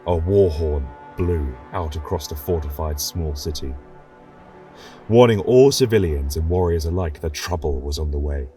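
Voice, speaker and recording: A man giving measured, dramatic narration of a fantasy story. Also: a bass voice, warm tone. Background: faint music.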